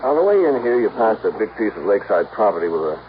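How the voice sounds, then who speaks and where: Earnest, folksy American insurance agent, 1950s radio drama. Urgent, colloquial talk, thin telephone-like sound, faint hiss. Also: male voice, lowish voice, big pitch swings, fast. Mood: earnest, explaining. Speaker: supporting actor. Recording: tinny, noisy old radio recording.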